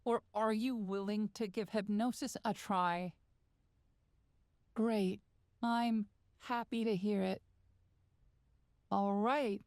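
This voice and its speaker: Very slow, soothing female hypnotherapist, acted by a woman. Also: medium pitch, steady tone, a little mellow, no echo, studio quality. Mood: patient, reassuring. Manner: measured, deliberate, coaxing, with pauses. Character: calm, soothing, quietly controlling female therapist and hypnotist. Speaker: therapist character. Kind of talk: slow hypnosis audio roleplay, ASMR.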